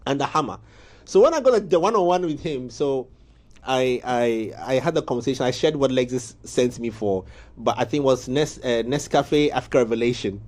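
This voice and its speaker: A man hosting a morning studio interview, telling stories in a lively way with laughs. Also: medium pitch, fairly quick. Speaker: morning show host.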